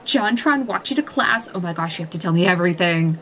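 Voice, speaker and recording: A woman voicing an excitable, gossipy teenage schoolgirl who fires off eager questions. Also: on the low side, a little hiss or hum. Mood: thrilled. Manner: gushing, eager rapid questions.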